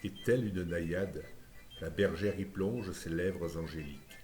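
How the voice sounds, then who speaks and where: A man with a very low voice recites poetry at a slow pace. His phrasing is lyrical and measured, set out line by line. Soft music plays behind him.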